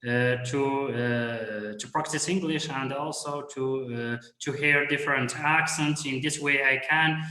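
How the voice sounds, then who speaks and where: A man's voice: fairly high pitch, steady tone, unhurried, few pauses, sharp tone, reverberant. A man, speaking in a hesitant way with many fillers as a guest on a video call. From Morocco. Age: 30s. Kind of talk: casual video call chat.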